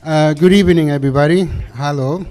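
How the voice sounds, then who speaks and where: A middle-aged man from Peru, giving a hesitant campaign speech full of pauses and fillers at a community meeting. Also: fairly high pitch, a little mellow. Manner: hesitant, many fillers and restarts. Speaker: council candidate. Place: community hall.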